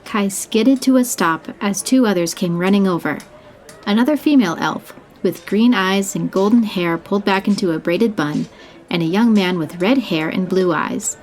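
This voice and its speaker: A woman narrates a scripted fantasy story in a mid-pitched voice. There is faint noise and ambience behind her. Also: normal speed, a little mellow, low-quality recording.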